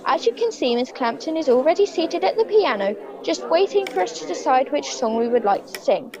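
A woman's voice: sits high, very animated, a little fast, a crowd in the background, low-quality recording. A woman playing a fussy schoolteacher in a comic monologue, speaking in a prim, patronizing tone with swooping intonation. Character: prim, fussy schoolteacher facing an unruly class.

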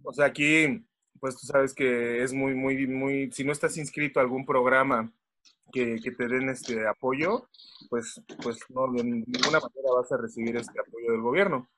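A man from Mexico City with a Mexican accent, a podcast guest who talks haltingly over a video call. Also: medium pitch, steady tone, fairly bright tone, slight background noise. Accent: Mexican Spanish. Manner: halting, many fillers and restarts. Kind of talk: casual chat.

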